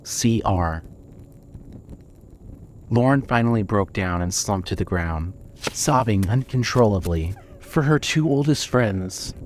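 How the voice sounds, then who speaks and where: A man narrating a scripted dramatic story in a measured, solemn manner. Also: mid-range voice, a little mellow, a little hiss or hum.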